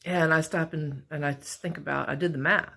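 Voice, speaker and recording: A middle-aged woman with a raspy voice, talking candidly and a bit ramblingly in a casual personal vlog from her office at work. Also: low voice, fairly even intonation, brisk, sharp tone. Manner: candid, rambling, self-deprecating. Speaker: vlogger.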